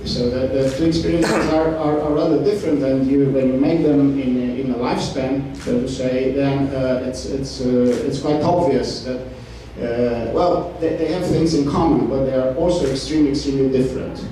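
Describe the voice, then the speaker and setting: Guy on a panel, lots of uhs and restarts, mellow voice, big echoey hall, mic far off. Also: mid-range voice, fairly even intonation. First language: Romanian. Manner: halting, many fillers and restarts. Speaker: panel participant. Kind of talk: hesitant panel discussion. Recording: echoey hall, distant mic, faint noise.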